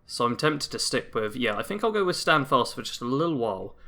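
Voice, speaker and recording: A man talks through his gameplay in a chatty, self-narrating way as he plays. His tone is bright and his pitch sits in the middle. He says he is coming down with a cold. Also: big pitch swings, fairly quick. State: getting a cold. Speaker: gaming YouTuber. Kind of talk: gameplay commentary.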